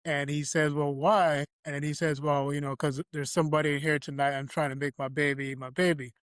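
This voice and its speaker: Host, man from Barbados; reflective storyteller, slightly high, level delivery, dry close mic. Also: little pitch movement, brisk, a bit bright, clean tone, no room sound. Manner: reflective storytelling, frequent "you know" fillers. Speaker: podcast host. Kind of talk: conversational interview.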